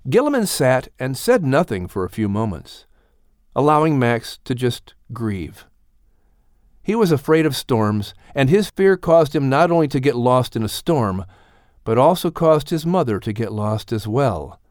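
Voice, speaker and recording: Expressive male audiobook narrator, dry sound. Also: medium pitch, unhurried, no echo. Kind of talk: scripted story narration.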